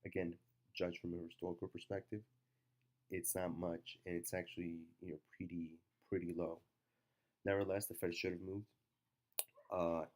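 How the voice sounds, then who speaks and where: A man with a stuffy cold giving halting, unscripted market commentary with many fillers. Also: low voice, a little mellow.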